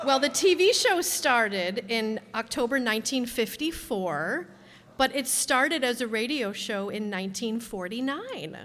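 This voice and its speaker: A woman host introducing a live show to an audience in a hall. She chats at an easy, slow pace with friendly asides. Her voice rings out into the room's long reverb. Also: on the high side, lively intonation, a bit bright. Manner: chatty, unhurried, friendly asides.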